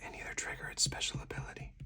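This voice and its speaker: Someone speaking in a breathy, deliberate, hushed whisper, in the style of an ASMR game playthrough with commentary. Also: far from the mic. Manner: slow, deliberate, hushed delivery. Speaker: solo card game player and host.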